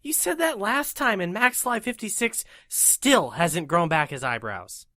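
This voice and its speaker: A woman's voice: mid-range voice, animated, a little slow, fairly bright tone, dry recording, clean studio sound. A woman playing a snarky, dismissive critic in a comedic cartoon skit, blunt and sarcastic. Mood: scornful.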